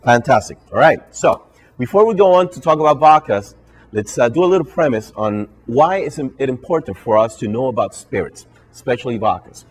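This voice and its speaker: A man's voice: mid-range voice, expressive, a little fast. A man from Puerto Rico with a Puerto Rican Spanish accent, Spanish his first language, giving a live training to bartenders and ending nearly every phrase with "all right?". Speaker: brand ambassador and trainer. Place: hotel function room with an audience.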